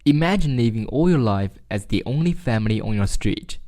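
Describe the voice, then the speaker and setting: A man reads a prepared passage aloud. His voice is mid-pitched, with a warm, mellow tone. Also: animated, fairly quick. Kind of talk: scripted read-aloud of practice texts.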